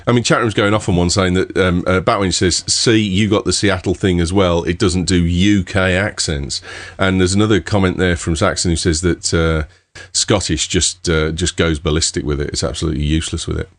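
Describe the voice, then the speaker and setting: A man's voice: deep voice, fairly even intonation, a little fast, few pauses. A man with a Birmingham accent, co-hosting a casual tech podcast and reading news out in a chatty way. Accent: Birmingham English. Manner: chatty, reads news aloud. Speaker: podcast co-host.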